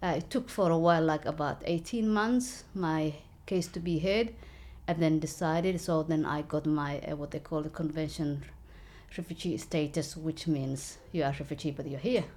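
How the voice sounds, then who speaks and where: A woman, telling her story in an interview with an earnest, halting manner and many fillers. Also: low-pitched, a little mellow. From Somalia. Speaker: local election candidate.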